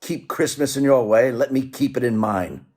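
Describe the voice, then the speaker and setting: An actor playing a cold, bitter old miser in a radio play, curt and scornful. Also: male voice, medium pitch, normal speed, darkish tone, lightly acted. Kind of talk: theatrical radio play acting.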